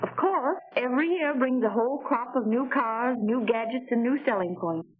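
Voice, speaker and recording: A woman's voice: a little high, moderate pace, slight background noise, lightly acted. An actress playing a well-bred, admiring lady car shopper, genteel and carefully enunciated, in 1940s promotional film style. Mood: wistful. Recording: tinny 1940 film soundtrack.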